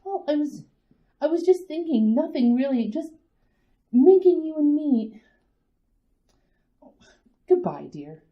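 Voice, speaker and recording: A woman's voice: high voice, sing-song, slow pace, long pauses, highs cut off, heavily acted. A woman playing an anxious mother in a radio drama, who stammers and trails off nervously.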